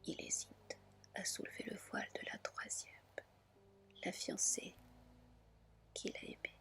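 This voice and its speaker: A woman reading a fairy tale as ASMR in a gentle whisper, with hushed, deliberate phrasing. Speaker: ASMR storyteller.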